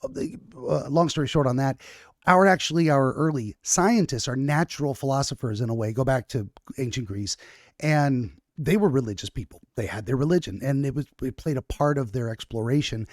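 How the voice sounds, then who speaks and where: A male podcast host who wanders through his thoughts, stopping and restarting as he goes. His voice sits at an ordinary pitch. The sound is dry and close, with no room echo. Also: fairly quick. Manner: rambling, self-correcting, frequent restarts and fillers. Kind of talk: casual conversational interview.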